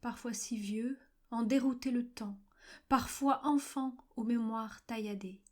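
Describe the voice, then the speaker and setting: A woman reciting literary poetry in measured, fragmented phrases with frequent pauses, at times breathy and near-whispered. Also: a little high. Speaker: poetry reader. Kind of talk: slow literary poetry recitation for a video poem.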